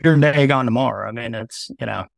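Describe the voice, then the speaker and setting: A man's voice: medium pitch, fairly quick, gravelly, no room sound, studio quality. A man in his thirties, a guest on a podcast, talking bluntly and loosely in casual conversation. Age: 30s. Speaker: podcast guest, video business owner.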